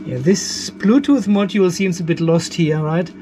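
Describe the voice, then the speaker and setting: Older man, German accent, slightly high voice, casual DIY host, thinking aloud, self-deprecating, garage workshop. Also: normal speed, background noise. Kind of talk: casual hands-on workshop narration.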